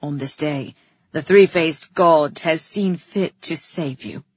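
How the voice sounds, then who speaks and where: A woman voicing a commanding, grandiose king in an audiobook, speaking loudly like an orator. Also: low-pitched, slow pace, breathy edge, dry recording, lo-fi sound, pitched below the performer's own voice, full character voice. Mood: grand, stately. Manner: loud, oratorical, imperious. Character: commanding, grandiose king making stately proclamations. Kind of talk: audiobook character acting.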